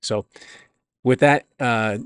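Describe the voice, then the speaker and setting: A man being interviewed as an author and business coach, talking conversationally in a halting, reflective, explanatory way. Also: mid-range voice, smooth.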